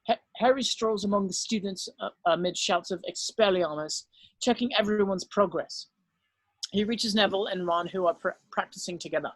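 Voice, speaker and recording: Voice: medium pitch, moderate pace, no room sound, rough recording. Dry-sounding narrator reading stage directions. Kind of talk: scripted narration, screenplay table read.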